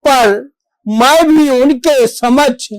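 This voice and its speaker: A stage actor performing a devotional monologue as a reverent, valiant divine monkey messenger, with formal, declamatory diction and dramatic pauses. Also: high-pitched, no echo, poor audio.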